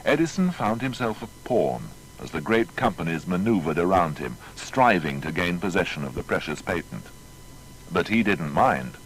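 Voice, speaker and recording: A man's voice: big pitch swings, moderate pace, poor audio. A man narrating a scripted documentary biography in a formal, storytelling manner. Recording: faint noise with low rumble.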